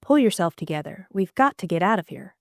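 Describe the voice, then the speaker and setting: A woman voicing a witty, teasing young Englishwoman, dry and brisk. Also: medium pitch, expressive, gravelly, no echo.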